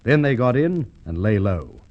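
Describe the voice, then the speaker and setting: An actor playing a shrewd police inspector with an Australian accent, deliberate and courteous in the style of golden age radio drama. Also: male voice, mid-range voice, big pitch swings, heavy background noise. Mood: musing, assured. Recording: dull vintage radio drama recording.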